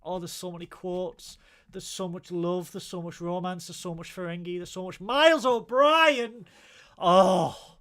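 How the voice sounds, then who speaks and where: Northern English man, fairly high voice, excitable, showman sign-offs, casual swearing. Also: unhurried. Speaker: podcast host. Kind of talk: casual chat.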